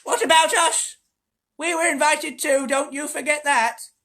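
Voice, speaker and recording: A man voicing a cranky, demanding bedridden old grandmother in a storybook, complaining in a high voice. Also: fairly even intonation, a little slow, pushed and bright, full character voice. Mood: indignant.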